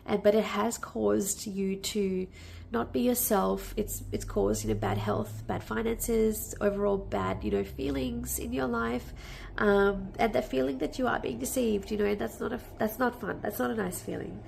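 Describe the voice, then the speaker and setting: Lady w a cold doing a tarot reading, bit nasal, gravelly croaky voice, lots of ums. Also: medium pitch, moderate pace, slight background noise. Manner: warm, reassuring, with many fillers and restarts. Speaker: tarot reader. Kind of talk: casual spoken tarot reading.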